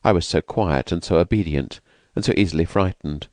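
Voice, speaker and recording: A man voicing a frightened, fragile young woman who has escaped from an asylum, speaking softly and hesitantly. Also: low-pitched, fairly quick, no room sound, close to an everyday voice. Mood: fearful, wistful. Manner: hesitant, vacant, soft-spoken.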